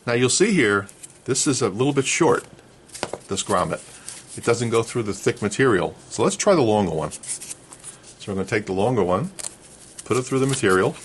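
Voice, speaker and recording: A man's voice: on the low side, expressive, normal speed, pauses often, a little hiss or hum. A man talking casually as he hosts a hands-on how-to, explaining things step by step. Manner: explains step by step, checking with "okay". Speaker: host of a vintage radio restoration how-to. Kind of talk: casual hands-on tutorial talk.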